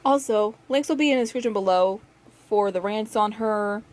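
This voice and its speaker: A woman's voice: medium pitch, moderate pace, a little mellow. A woman giving casual rant commentary as a video maker, with a sarcastic, scolding way of talking.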